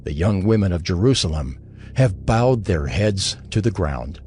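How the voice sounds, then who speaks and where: A man playing a solemn, mournful narrator of dramatized scripture, letting his phrases fall away gravely. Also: sits low, expressive, unhurried, a little mellow, a little hiss or hum. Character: solemn male narrator lamenting a ruined city.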